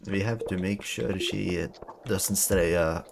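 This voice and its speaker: A man's voice: low-pitched, steady tone, measured pace, gravelly, heavy background noise. A man casually chatting and bantering as he streams a video game with friends. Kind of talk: casual chat while gaming with friends. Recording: music playing behind the voice.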